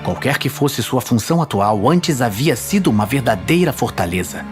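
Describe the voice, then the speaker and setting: A man's voice: medium pitch, animated, moderate pace, over music. A man with a Brazilian accent reading dramatic scripted fantasy narration as the storyteller.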